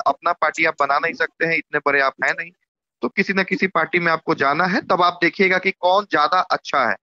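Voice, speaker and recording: A man with a Bihari accent explaining politics informally in measured, repetitive phrases as an interviewee on a recorded phone call. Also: pushed and bright, slight background noise. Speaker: YouTuber. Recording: muffled low-bandwidth phone call recording.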